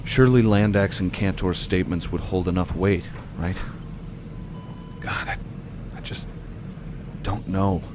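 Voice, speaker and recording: A man's voice: sits low, fairly even intonation, a little slow, pauses often, noisy background. A man playing an anxious, wry detainee in a science fiction audio drama, both narrating in the first person and speaking in dialogue. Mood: anxious, brooding.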